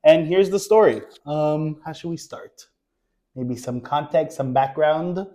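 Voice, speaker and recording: New Yorker co-host, relaxed storyteller, dark warm tone. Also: male voice, fairly high pitch, a little slow. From Queens, New York. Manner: easygoing storyteller, guides the conversation. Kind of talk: casual couple chat.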